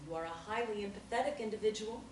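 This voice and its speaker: Cool therapist type, measured and knowing, read by a woman off a distant mic. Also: medium pitch, echoey room, a little hiss or hum, lightly acted. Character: calm, knowing female group therapist. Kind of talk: table read of a play.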